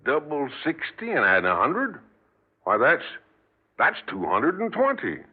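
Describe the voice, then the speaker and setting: An actor playing a curious, talkative rural gas station attendant in an old radio drama, folksy and plain-spoken. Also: male voice, on the high side, wildly swooping pitch, measured pace, slightly rough, heavily acted. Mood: puzzled, curious. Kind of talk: 1940s radio drama acting. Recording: tinny old radio recording.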